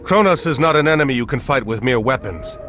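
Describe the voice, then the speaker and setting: A man's voice: mid-range voice, lively intonation, fast, a bit bright, faint music behind, natural voice. A man playing a grave, commanding mythological hero in a dramatic video game cutscene. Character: commanding, grave heroic commander from Greek myth.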